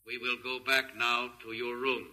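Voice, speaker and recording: An actor playing an emotionless, logical alien captor with stilted, formal phrasing in 1950s radio science-fiction style. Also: male voice, mid-range voice, flat delivery, normal speed, sharp tone, faint music behind. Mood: cold, insistent. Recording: vintage 1950s radio recording.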